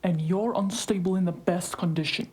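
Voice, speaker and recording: A woman's voice: on the low side, moderate pace, darkish tone, lightly acted. A woman playing a blunt, exasperated but caring colleague in an audio drama. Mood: scolding. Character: blunt, exasperated, knowledgeable female colleague, worried.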